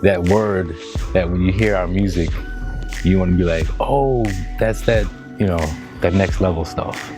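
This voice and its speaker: A very low-pitched American man talks casually as a musician being interviewed. He is laid-back and conversational, dropping in slang. Music plays clearly behind his voice. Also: a little slow. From Washington, D.C. area, United States. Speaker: musician and producer in a band.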